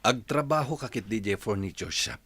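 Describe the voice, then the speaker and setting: A man's voice: lowish voice, expressive, moderate pace, fairly bright tone, breathy edge, dry recording. An actor with an Ilocano accent playing a firm, patient family man in a radio drama, earnest and admonishing. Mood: stern, urging.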